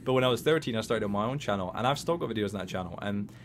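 A man in his twenties from Scotland with a softened Scottish accent, hosting a casual interview chat in a bubbly, energetic way with many stammered restarts. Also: on the low side, rapid-fire, slight background noise. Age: 20s. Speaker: podcast host.

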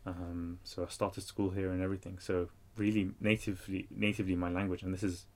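A man's voice: deep voice, fairly quick. A man in his thirties with a London accent, a guest author talking casually with many fillers and easy laughs. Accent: London English. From Iraq. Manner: energetic, laughs easily, chatty with fillers. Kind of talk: casual interview talk.

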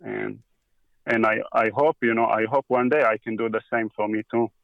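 A man from Israel speaking English with an Israeli accent in a casual interview, talking slowly with many pauses and restarts. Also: fairly low pitch, steady tone, vocal fry, no room sound, lo-fi sound. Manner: halting, with frequent pauses and restarts. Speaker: guest. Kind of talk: casual conversation.

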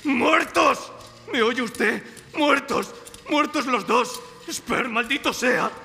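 A man's voice: very high voice, slow pace, vocal fry, bright, forceful tone, full character voice. A man playing a vengeful, grief-crazed German submarine officer in live radio theatre, raving theatrically with taunting questions. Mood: furious anguish. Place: performed before an audience in a library. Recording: reverberant live stage recording with music.

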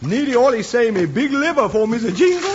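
Very high-pitched, excitable Chinese servant. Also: male voice, brisk. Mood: apologetic, eager. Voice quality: high-pitched, broken English. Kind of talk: 1950s radio western acting. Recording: noisy muffled old radio recording with clicks.

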